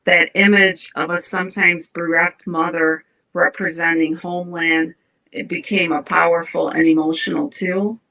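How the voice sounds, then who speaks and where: Middle-aged woman on a video call from home, calm measured talk, lowish voice. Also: creaky, low-quality recording. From United States, Ukrainian-American community. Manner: calm, measured, reads verse slowly. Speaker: poet, guest. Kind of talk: interview answers and poetry reading. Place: home room with bookshelves.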